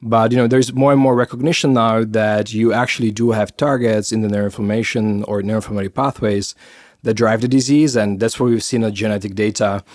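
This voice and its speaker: A man's voice: lowish voice, steady tone, fairly quick, darkish tone. A middle-aged man from the Czech Republic with a Czech accent, explaining science in a podcast interview with many fillers and restarts. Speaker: biotech founder.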